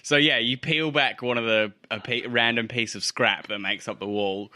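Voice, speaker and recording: A man's voice: medium pitch, steady tone, moderate pace, pushed and bright, dry recording, studio quality. A man narrating a tabletop roleplaying game casually as its game master.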